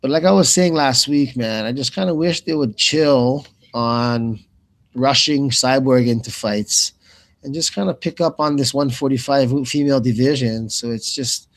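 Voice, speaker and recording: A man hosting live fight commentary in a loose, casual, rambling way, often repeating phrases as he thinks aloud. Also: medium pitch. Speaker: radio play-by-play commentator and host.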